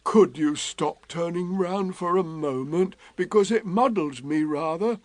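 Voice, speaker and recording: A mild, simple bear , played by a man reading aloud, talks slowly and gently with a touch of worry. His voice sits a little higher than the reader's own. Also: no echo, natural voice. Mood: anxious. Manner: slow, anxious, mild. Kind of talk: storybook reading.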